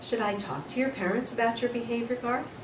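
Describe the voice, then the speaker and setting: A woman voicing a concerned, patient female schoolteacher who questions gently in a children's story. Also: a little high, a little fast, pitched above the performer's own voice, natural voice. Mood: stern, concerned. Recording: roomy, distant mic, noisy.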